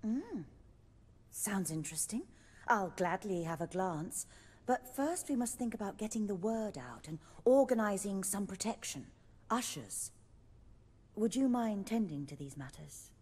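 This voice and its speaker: A composed, shrewd woman running a fantasy game theatre troupe: mid-pitched, formal, with long reverb. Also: expressive, unhurried, darkish tone, husky. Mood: composed, practical. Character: poised, shrewd female leader of a theatre troupe. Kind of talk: video game dialogue acting.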